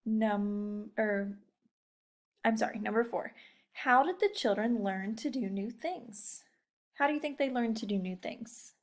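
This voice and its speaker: A woman's voice: on the high side, unhurried. A young woman teacher chatting casually and encouragingly to children, often putting questions straight to her listeners. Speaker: teacher leading a read-aloud lesson.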